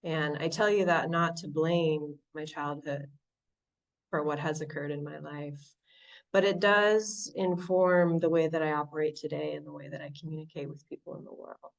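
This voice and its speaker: A woman from Southern California with an American accent, sharing her story reflectively at a recovery meeting, often pausing and restarting. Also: sits low, steady tone, vocal fry, recorded from a distance, highs cut off. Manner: reflective, halting, many restarts and fillers. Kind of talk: personal recovery share. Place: online meeting from home.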